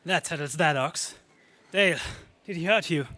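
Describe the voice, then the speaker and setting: A man's voice: a little high, normal speed, sharp tone. A man playing a gallant young space hero, speaking earnestly and declaiming in 1930s radio serial style. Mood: resolute.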